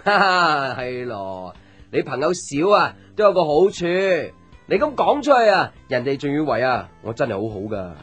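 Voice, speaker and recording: A man's voice: fairly high pitch, normal speed, breathy edge, full character voice. An actor with a Hong Kong Cantonese accent playing a playful, teasing young man, a caring friend, in a light conversational radio drama. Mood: joking. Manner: teasing, joking, animated with exclamations. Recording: music under the voice.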